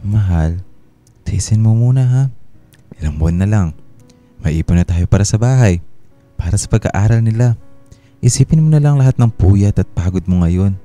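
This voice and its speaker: A man playing a patient, reassuring husband and father in a radio drama, speaking gently. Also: lowish voice, lively intonation, normal speed, long pauses, faint music behind, lightly acted.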